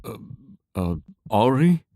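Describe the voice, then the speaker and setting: A man playing a cautious, thoughtful young fantasy adventurer in an audio drama, with a serious, measured way of speaking. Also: mid-range voice, very animated, warm tone, dry recording, clean studio sound. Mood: shocked, pleading.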